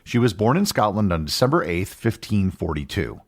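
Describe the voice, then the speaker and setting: A man hosting a history podcast, reading a scripted narration in a measured storytelling manner. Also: very animated. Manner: measured storytelling with wide pitch swings. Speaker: podcast host.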